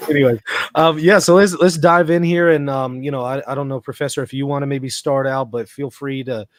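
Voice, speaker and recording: A man's voice: mid-range voice, steady tone, brisk, dry recording. A man hosting an interview, chatty and enthusiastic as he talks with his guests. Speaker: host. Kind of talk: casual interview chat.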